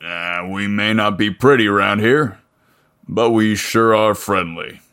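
A man doing a deep-voiced rough mechanic. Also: fairly even intonation, unhurried, fairly bright tone. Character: burly rough-voiced small-town mechanic.

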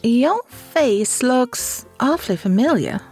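A woman's voice: a little high, expressive, takes it slowly, darkish tone, breathy edge, faint music behind, heavily acted. A woman playing a genteel, self-important socialite in an audio drama, gracious in an affected way yet blunt. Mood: airy, curious.

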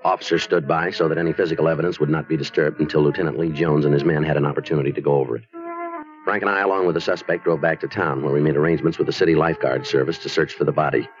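An actor playing a businesslike American police detective who narrates his case in a deadpan, clipped manner in a 1950s radio drama style. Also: rumbling low, rapid-fire. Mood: matter-of-fact. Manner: deadpan, clipped, matter-of-fact. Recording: muffled old radio recording, music behind.

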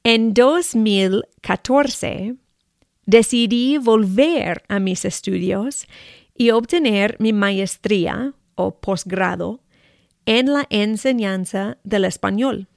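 American woman in her 40s, spanish teacher, english native, reads her story real slow and careful, bit of vocal fry, dry close sound. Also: mid-range voice, no echo. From United States. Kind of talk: read personal narrative.

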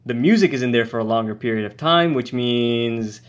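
A young man co-hosting a podcast, telling stories in an animated way and acting out what others say. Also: mid-range voice, brisk. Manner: animated storyteller, acts out quotes. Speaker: podcast co-host. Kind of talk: casual podcast chat.

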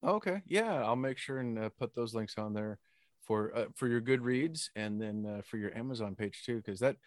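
A man with a mid-pitched voice hosts a casual interview. He is effusive and folksy in the way he talks. The sound is dry, with very little room around him. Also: normal speed, no echo. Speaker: podcast host.

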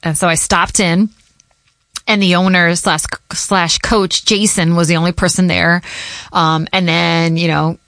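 A woman's voice: on the low side, flat delivery, measured pace, fairly bright tone, dry recording. Chatty woman radio host, dry sound. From Connecticut, USA. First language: Portuguese. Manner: chatty, loose, laughs between thoughts. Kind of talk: casual personal Q&A to listeners.

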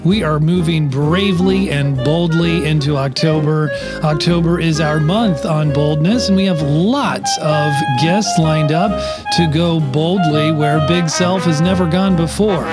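A man co-hosting a podcast, reading a scripted introduction and then asking a halting, measured question. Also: on the high side, steady tone, over loud music. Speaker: podcast co-host.

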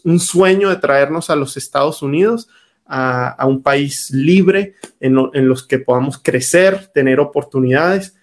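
Man telling his story, halting. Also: a little high. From Venezuela. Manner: halting, many fillers and restarts. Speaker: guest, startup founder. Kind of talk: conversational interview, storytelling.

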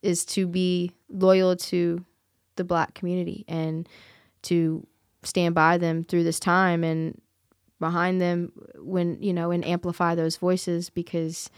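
A woman's voice: lowish voice, flat delivery, slow, smooth, no room sound, studio quality. A woman singer chatting as an interview guest, earnest and candid, with plenty of fillers and restarts. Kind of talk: casual interview chat.

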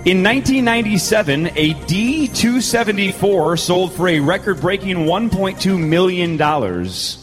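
A man with a high, very animated voice reads quiz clues like an announcer. The sound carries a long reverb from a live venue, with faint music behind him. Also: moderate pace, hardly any pauses. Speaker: game show host.

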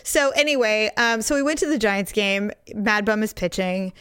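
A middle-aged woman, slightly hoarse, telling a story in casual, animated chat and laughing often. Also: mid-range voice, moderate pace, no echo, studio quality. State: hoarse, recovering voice.

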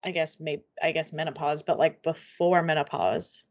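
Low-voiced rambling young woman. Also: steady tone, moderate pace. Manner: rambling, full of "like", restarts mid-thought. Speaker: podcast co-host. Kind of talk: casual chat. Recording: dull lo-fi sound.

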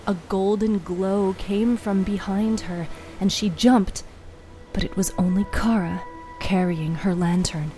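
A woman's voice: medium pitch, steady tone, measured pace, warm tone, soft background music, low-quality recording. A woman reading scripted material as the writer, narrator and host of a fiction podcast.

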